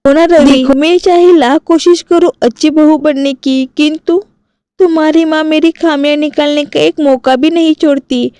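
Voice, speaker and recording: A woman's voice: high voice, fairly even intonation, normal speed, mellow, no room sound, lightly acted. A woman voicing an earnest, frustrated young city daughter-in-law in a cartoon family story. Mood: aggrieved. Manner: earnest, aggrieved pleading.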